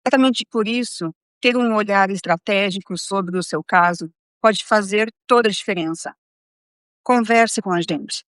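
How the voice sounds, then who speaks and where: A middle-aged woman lawyer presenting a scripted legal advice segment, didactic and addressing the viewer directly in measured phrases. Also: mid-range voice, fairly bright tone, no echo, clean studio sound. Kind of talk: scripted explainer to camera.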